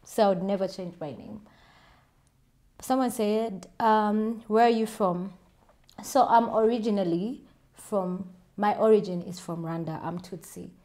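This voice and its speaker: A woman's voice: mid-range voice, measured pace, dark tone. A woman with a Ugandan accent, chatting casually and self-assuredly as she answers viewer questions in a vlog. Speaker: vlogger.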